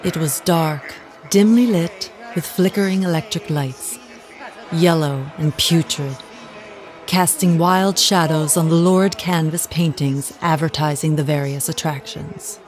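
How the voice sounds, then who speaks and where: An actress playing a resentful, well-to-do wife, narrating formally and dramatically in an audio drama. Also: female voice, low-pitched, a little slow, a little mellow, soft background music. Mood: bitter, ominous. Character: resentful, well-to-do wife recounting her confession.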